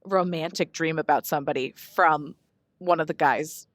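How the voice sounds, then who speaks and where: A woman's voice: sits low, moderate pace, dry recording, studio quality. A woman speaking as a podcast co-host in informal, conversational chat. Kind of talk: casual chat.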